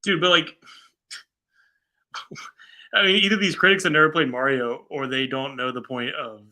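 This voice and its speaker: A man's voice: medium pitch, steady tone, moderate pace, sharp tone. An American man co-hosting a show in casual chat, reading things out and reacting in informal, slangy asides. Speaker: show co-host.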